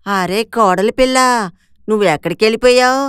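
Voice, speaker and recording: A woman playing a very smooth-voiced stern mother-in-law. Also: medium pitch, moderate pace, dry recording, clean studio sound, lightly acted. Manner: stern, lecturing. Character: domineering, traditional older mother-in-law. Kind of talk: animated moral-story voice acting.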